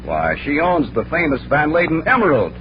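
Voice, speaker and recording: Muffled old radio, hearty announcer, higher-pitched, lively, inquisitive. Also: male voice, moderate pace. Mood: curious, jovial. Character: eager, hearty male radio announcer visiting a magician. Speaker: announcer and visiting friend. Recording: dull old radio recording, faint noise, hum.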